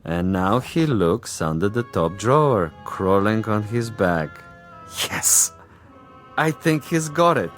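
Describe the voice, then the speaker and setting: An actor playing a smug, cunning commissar and assassin in a vintage television drama, with a pompous, savoring delivery. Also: male voice, lowish voice, sing-song, takes it slowly, faint music behind. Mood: gloating. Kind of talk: 1960s television drama acting.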